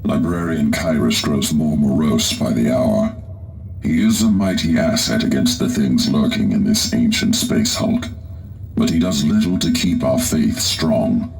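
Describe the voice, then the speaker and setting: A man playing a grave, troubled warrior medic in a video game cutscene, speaking in a solemn, weary, deliberate way. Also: extremely low pitch, lively intonation, gravelly, a little mellow, soft background music, exaggerated voice, low-quality recording. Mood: somber, troubled. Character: grave, troubled armored warrior medic confessing dark secrets.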